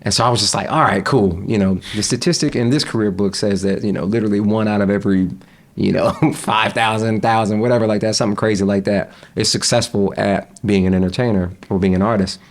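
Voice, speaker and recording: A young man chatting casually as a podcast guest, relaxed, with frequent laughs and fillers. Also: on the low side, quick pace. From United States.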